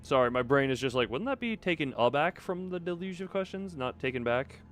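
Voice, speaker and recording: A man's voice: on the high side, expressive, fairly quick, fairly bright tone. A man livestreaming a game, commenting casually and thinking aloud in a rambling way as he goes. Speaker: game streamer. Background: game music.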